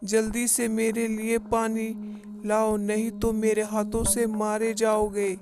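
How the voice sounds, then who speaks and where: A woman's voice: on the high side, monotone, takes it slowly, loud music behind the voice. A woman voicing a menacing, demanding witch ghost in a horror cartoon. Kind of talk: Hindi horror cartoon character voice.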